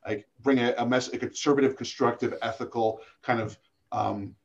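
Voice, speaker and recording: A man with a gravelly voice, talking casually as a podcast guest, rambling with many restarts and self-deprecating asides. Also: medium pitch, fairly even intonation, normal speed, highs cut off, slight background noise. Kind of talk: casual interview chat.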